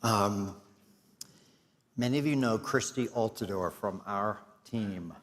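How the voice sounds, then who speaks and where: A middle-aged man, hoarse and losing his voice, giving host remarks and thanks at a conference with a self-deprecating, filler-heavy manner. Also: lowish voice, slow. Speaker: executive director. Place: large conference hall. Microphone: stage mic. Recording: long hall reverb.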